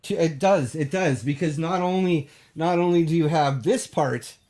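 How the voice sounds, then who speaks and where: A man hosting a call-in show, bantering deadpan with a caller, full of hesitations and restarts. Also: on the high side, moderate pace, slight background noise. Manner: hesitant, full of ums and restarts, straight-faced.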